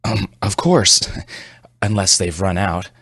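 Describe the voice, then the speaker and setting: A man's voice: low-pitched, takes it slowly, a bit bright, breathy edge, no echo, clean studio sound, full character voice. A man voicing an anxious doctor in a horror story, with a hesitant, placating manner. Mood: uneasy. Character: anxious adult male doctor coaxing a child. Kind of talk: horror audio storybook character.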